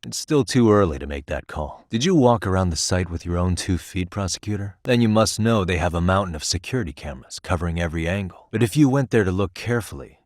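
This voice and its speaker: A dogged, cool-headed male private detective from a video game, with a low voice. He talks in a dry, conversational way with streetwise confidence. The sound is tight and free of room echo. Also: expressive, quick pace, hardly any pauses. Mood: confident, explanatory. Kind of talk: English video game voice acting.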